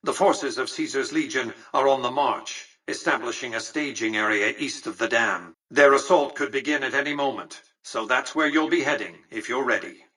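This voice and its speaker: Imperious, husky-edged, bright-voiced old tycoon. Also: male voice, mid-range voice, moderate pace, hardly any pauses, far from the mic, rough recording. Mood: smugly satisfied. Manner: formal, articulate, condescending, dryly superior. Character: cold, imperious old male tycoon ruling a casino city. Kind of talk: scripted video game character dialogue.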